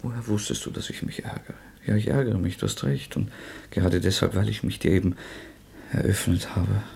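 A tormented, defiant young murderer in a radio drama. His husky voice is agitated and halting, swinging between hushed whispers and outbursts. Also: low-pitched, fairly even intonation, heavily acted. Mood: irritated. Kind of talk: German radio drama acting.

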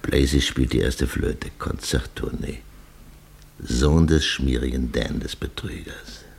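An actor playing a gruff, scornful, sharp-tongued father in a German radio play, blustering and sardonic. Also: extremely low pitch, slow, full character voice. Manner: blustering, sardonic, colourfully abusive. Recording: faint noise, old radio drama recording.

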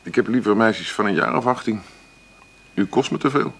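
A middle-aged café boss in a radio play. His voice is very low and gravelly. He talks bluntly and dismissively, rambling on with condescension toward whoever wants a job. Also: normal speed. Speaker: employer interviewing an applicant. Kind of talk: naturalistic radio drama acting.

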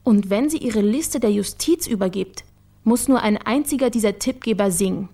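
An actress playing a sharp, methodical investigator for the prosecutor in a radio crime drama, explaining things in a matter-of-fact way. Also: female voice, mid-range voice, normal speed, slightly rough. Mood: confident explaining. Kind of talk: naturalistic German radio crime drama acting.

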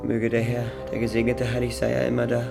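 An actor playing a solemn medieval rabbi with a gravelly voice in a radio play. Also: male voice, mid-range voice, completely flat delivery, fast. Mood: solemn, mournful. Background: loud brass music.

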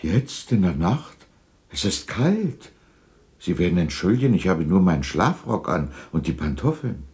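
A man's voice: low voice, very animated, measured pace, a little mellow, heavily acted. An actor playing an old miser, uneasy and hesitant as he questions spirits, in a 1960s German radio drama. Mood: fearful.

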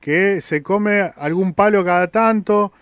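Argentine guy hosting a radio interview, voice a bit high, chatty, very dry studio sound. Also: slow, fairly bright tone, no room sound. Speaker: radio host interviewing a guest.

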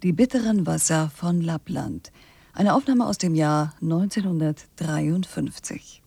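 A husky, gravelly presenter's voice, dark and dry in a close studio sound. The scripted radio introduction is delivered in a measured, sober way. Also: mid-range voice, dry recording.

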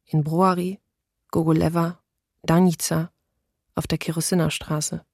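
Flat, creaky, grave woman diarist. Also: low voice, unhurried, no room sound, studio quality. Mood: grave, restrained. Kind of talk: documentary radio play, scripted testimony.

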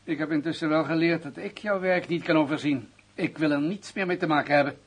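An actor playing an unyielding police superior in a radio play, brusque, commanding and dismissive. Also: male voice, on the high side, brisk, slightly rough. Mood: curt, dismissive. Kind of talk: radio drama acting.

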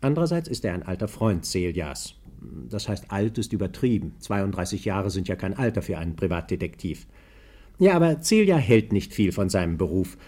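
A man's voice: sits low, big pitch swings, normal speed, mellow, husky. A man narrating as the announcer of a 1950s radio serial, reading a scripted introduction in a playful, mock-official way. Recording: 1950s German radio recording.

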